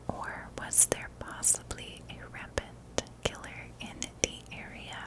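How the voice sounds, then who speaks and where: A woman narrating in a soft, breathy ASMR whisper, telling a story with measured, deliberate phrasing. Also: low-quality recording.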